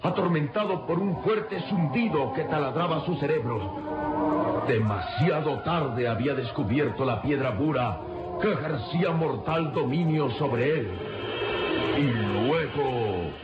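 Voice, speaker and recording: A man narrating an adventure radionovela in a grave, theatrical, measured style. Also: on the high side, expressive. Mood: grave, suspenseful. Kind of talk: dramatic scripted radionovela narration. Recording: muffled radio drama under loud music.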